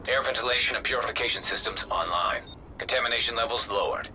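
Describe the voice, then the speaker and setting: A man voices an automated game computer announcing alerts in a clipped, impersonal way. The delivery is fast and mid-pitched, with clear noise behind it. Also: natural voice.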